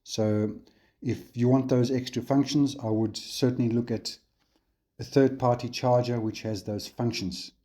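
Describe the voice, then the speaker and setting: A man with a South African accent hosting a hobby channel, walking viewers through a kit in a relaxed tutorial style with frequent ums and uhs. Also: mid-range voice. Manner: relaxed, unhurried, frequent fillers. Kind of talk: casual tutorial walkthrough on camera.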